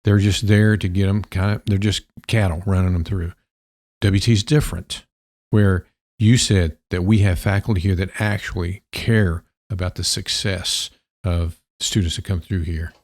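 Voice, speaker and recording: A man's voice: low-pitched, fairly even intonation, moderate pace, darkish tone. A man hosting a casual university podcast, asking questions in a friendly, conversational way. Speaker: podcast host.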